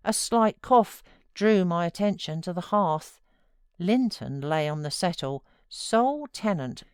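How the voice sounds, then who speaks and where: Slow, low-voiced British woman narrating. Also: darkish tone, clean tone, dry recording, studio quality. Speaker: audiobook narrator. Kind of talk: scripted dramatic narration of a classic novel.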